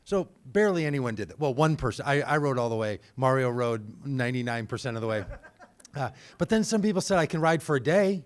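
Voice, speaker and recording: A man's voice: medium pitch, a little fast. A man hosting a live event, talking casually and quizzing the audience. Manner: energetic, conversational, quizzing the audience. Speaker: host. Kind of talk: live event talk with trivia. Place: indoor event venue.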